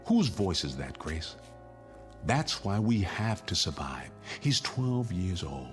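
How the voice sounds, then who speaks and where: An actor playing a stern, resolute suburban father in a radio drama, speaking gravely and firmly. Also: low-pitched, very animated, slow pace, soft background music, full character voice. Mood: grave, subdued.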